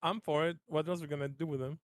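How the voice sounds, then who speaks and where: A man's voice: a little high, steady tone, very fast, no echo. A man chatting casually and bluntly as a player in a tabletop roleplaying game. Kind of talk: casual, sweary game chat.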